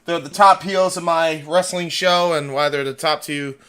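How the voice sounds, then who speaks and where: A man hosts a casual livestream with a fairly high, very bright voice. He is excitable and forceful, swears freely and chats informally with his guests. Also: normal speed, clean tone. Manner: profane, excitable. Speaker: livestream host. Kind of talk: casual behind-the-scenes livestream chat.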